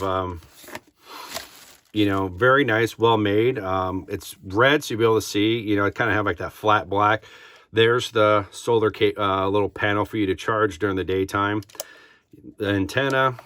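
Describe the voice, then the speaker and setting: Low-ish voiced guy doing a casual unboxing review, kinda hesitant, informal. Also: fairly bright tone. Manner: informal, hesitant, halting delivery. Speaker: product reviewer and vlogger.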